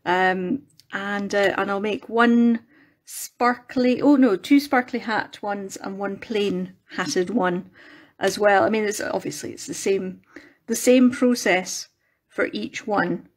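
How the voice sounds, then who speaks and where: A Scottish woman hosting a casual crafting live stream on her phone, rambling and self-deprecating, her voice a little croaky from singing the night before. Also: mid-range voice, slow pace. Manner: chatty, rambling, self-deprecating, many restarts.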